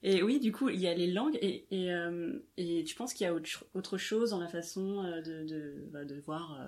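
A woman's voice: on the low side, normal speed, darkish tone. A woman interviewing a guest in casual conversation, prompting her and finishing her sentences. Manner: encouraging, finishes the other's sentences.

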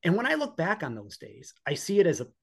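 A man's voice: high-pitched, huge pitch swings, fast, a bit bright, slightly rough. A man in his forties with an American accent, telling personal stories conversationally as an interview guest. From northeastern United States. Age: 40s. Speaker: podcast guest, police officer and former EMT.

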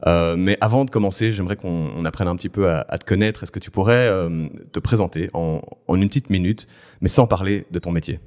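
A man hosting a podcast, introducing his guest and asking questions in a measured way. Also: low-pitched, darkish tone. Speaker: podcast host. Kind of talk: interview hosting.

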